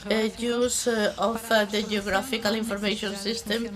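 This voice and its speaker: A woman interpreting a conference talk into English, speaking haltingly with many drawn-out "uh" fillers between words. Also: medium pitch, flat delivery.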